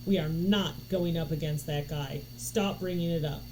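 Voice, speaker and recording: A woman's voice: low voice, moderate pace, mellow, natural voice, low-quality recording. A woman voicing an anxious, cautious young game player in a storybook reading, urgent and often exasperated. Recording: noticeable background noise.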